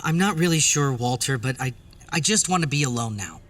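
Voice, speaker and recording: A man's voice: medium pitch, expressive, a little fast, a bit bright, slight background noise, close to an everyday voice. A man playing an anxious, guilt-ridden suburban husband in a horror audio drama. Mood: haunted, regretful. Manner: confessional narration breaking into frantic outbursts. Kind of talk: horror audio drama acting with first-person narration.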